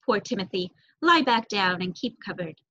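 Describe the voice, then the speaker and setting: A woman's voice: mid-range voice, expressive, normal speed, bright, forceful tone. A woman voicing an anxious, tender mother mouse in a storybook, soothing and motherly. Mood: tender, reassuring. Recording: dull lo-fi recording.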